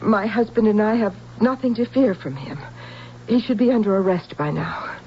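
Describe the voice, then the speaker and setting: A woman's voice: medium pitch, lively intonation, normal speed, lightly acted. Woman as a guarded, proud wife, muffled sound. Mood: cool, defiant. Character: proud, guarded married woman, curt and wounded. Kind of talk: radio drama acting. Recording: dull lo-fi radio recording, faint hiss.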